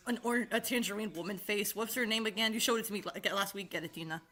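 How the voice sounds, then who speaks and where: A woman's voice: medium pitch, fast, a bit bright. A woman chatting casually with friends over a voice call, rambling, laughing often and swearing freely. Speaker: channel host.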